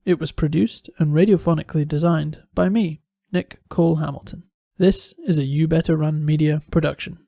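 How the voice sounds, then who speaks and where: Creaky, higher-voiced male announcer. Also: steady tone, normal speed, hardly any pauses, mellow, dry recording, clean studio sound. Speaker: podcast producer and announcer. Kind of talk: scripted credits announcement.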